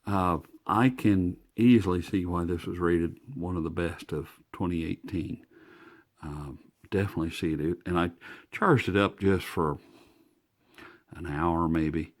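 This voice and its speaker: A man's voice: low-pitched, fairly even intonation, a little slow. An American man giving a casual, hands-on product review in an enthusiastic, folksy way, recorded indoors. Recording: faint background noise, indoor room.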